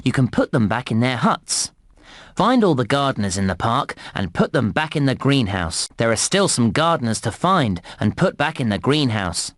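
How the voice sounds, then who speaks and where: A man playing a friendly theme park guide in a children's game, giving tutorial instructions in an enthusiastic, encouraging and clearly instructive way. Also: mid-range voice, no echo, clean studio sound, natural voice. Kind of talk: scripted video game tutorial narration.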